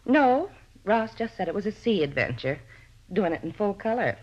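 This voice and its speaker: A woman's voice: mid-range voice, very animated, normal speed. A woman playing a talkative, vain older landlady who once acted, theatrical and self-important, in a radio drama. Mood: chatty. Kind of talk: 1950s radio drama acting. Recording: muffled old-time radio recording.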